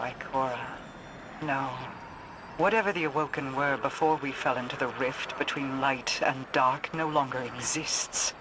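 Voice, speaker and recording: An actress playing a proud, regal, ageless queen in a video game, speaking in a solemn, measured, imperious way. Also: deep voice, natural voice. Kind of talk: dramatic video game acting. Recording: noisy game capture with background ambience.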